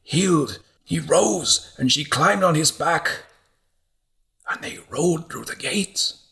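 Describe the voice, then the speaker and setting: A man acts out a troubled visionary from a historical audiobook. The voice is lifted well above a natural low register, strained and fervent, as of someone in a fragile, fevered state. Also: expressive, takes it slowly, creaky, sharp tone, heavily acted. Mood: rapt, fevered. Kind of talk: audiobook character acting.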